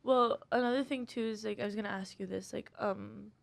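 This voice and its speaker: A young woman co-hosting a casual talk show, speaking in an animated way and thinking aloud with many restarts. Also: mid-range voice, fairly quick, vocal fry. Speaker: co-host. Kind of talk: casual conversation.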